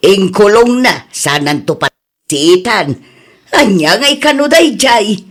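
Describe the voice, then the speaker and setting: Slow-talking Ilocano woman in-law. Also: medium pitch, lively intonation, fairly bright tone. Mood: amused, gossipy. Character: gossipy, teasing woman in-law, good-hearted underneath. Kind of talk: radio drama acting.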